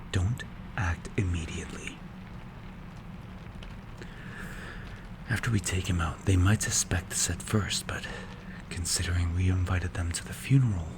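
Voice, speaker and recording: A man playing a strict, calculating mafia boss in an ASMR roleplay, speaking in a hushed, breathy, measured and menacing way. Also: low voice, noisy background. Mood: stern, menacing. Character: strict, cold, calculating male mafia boss mentoring a protégé.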